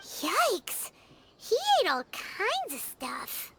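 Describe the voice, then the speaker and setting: A woman voicing a small, childlike fairy companion in a video game, squeaky, curious and easily startled. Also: huge pitch swings, drawn-out words, gravelly, very noisy, exaggerated voice. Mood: startled, pondering. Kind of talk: video game dialogue acting.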